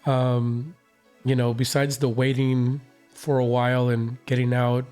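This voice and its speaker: A man with a hoarse, recovering voice, casually recapping his concert experience with many fillers and restarts. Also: medium pitch, flat delivery, a little slow, darkish tone, faint music behind. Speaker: reaction channel host.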